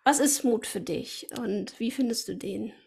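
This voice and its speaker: A woman hosting a podcast speaks in a husky voice that sits a little high. She asks her guest questions and keeps him going with short, encouraging replies. She often laughs along with him. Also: unhurried, a little mellow. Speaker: podcast host. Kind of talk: interviewer, brief backchannel responses.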